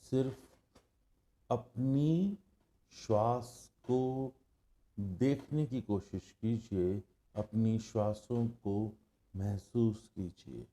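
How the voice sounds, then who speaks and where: A man with an Indian accent guides a meditation in a very dark, soft-edged voice pitched on the low side. He goes extremely slowly and leaves long silences between short phrases. His instruction stays calm, hushed and measured.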